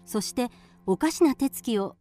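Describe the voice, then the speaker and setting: Woman narrator, radio drama folk tale, mellow tone, lively intonation, music underneath. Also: fairly high pitch, normal speed.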